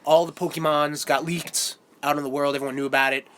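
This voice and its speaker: A man co-hosting a laid-back podcast, his voice stuffed up and nasal from a cold. He talks in a joking, quick way and pokes fun at himself. His voice sits a little high. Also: flat delivery, fairly bright tone. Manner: joking, quick, self-mocking. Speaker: podcast co-host. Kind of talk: casual podcast banter.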